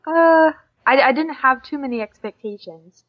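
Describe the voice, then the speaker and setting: A woman's voice: high-pitched, moderate pace. Teenage American woman, somewhat dull recording. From Oklahoma, United States. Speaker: interview guest, exchange student. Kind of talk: casual interview answers. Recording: dull lo-fi podcast audio.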